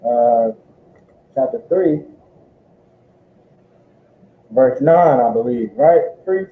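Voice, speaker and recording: A man's voice: mid-range voice, drawn-out words. A man assisting a preacher as scripture reader, reading verses aloud and answering him. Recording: tinny phone-like line with roomy echo.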